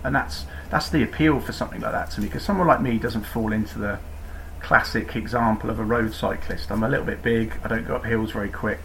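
A man's voice: fairly low pitch, steady tone, quick pace, vocal fry, a little hiss or hum, low-quality recording. A man from Cornwall, a podcast guest talking casually about cycling in a rambling, self-deprecating way with many restarts. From Cornwall, England. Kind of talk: casual interview chat.

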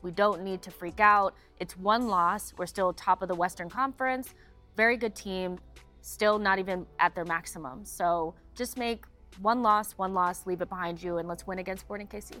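A woman giving casual soccer commentary as a panelist, with measured, deliberate emphasis. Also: medium pitch, pushed and bright, faint music behind. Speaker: sports show panelist.

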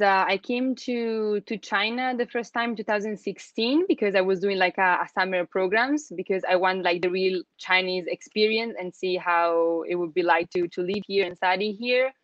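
A young woman with an Italian accent, chatting as an interview guest, full of "like" and restarts and quick laughs. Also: medium pitch, a bit bright, dry recording, highs cut off. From Italy. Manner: chatty, many fillers and restarts, laughs often. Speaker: guest, email account manager. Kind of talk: casual interview chat.

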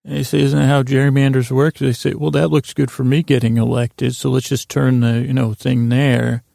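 Gravelly, meandering male podcast host. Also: mid-range voice, no echo, studio quality. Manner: meandering, halting, with stammered restarts and trailing tangents; absurd, self-deprecating deadpan humour. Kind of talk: rambling bedtime podcast monologue.

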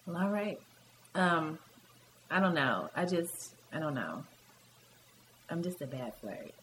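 Woman, low pitch, Southern-Midwestern American accent, wry, self-deprecating, conversational, light background noise. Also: big pitch swings, takes it slowly, a bit bright, low-quality recording. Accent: American, Southern with Midwestern traits. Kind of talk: casual chat.

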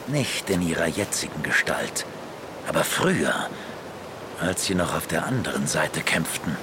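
A grave ancient magician with a very deep, slow voice. He speaks solemnly and cryptically in a fantasy radio play, over a clear rumbling noise. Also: very animated, full character voice. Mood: solemn, urgent. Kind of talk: German fantasy audio drama acting.

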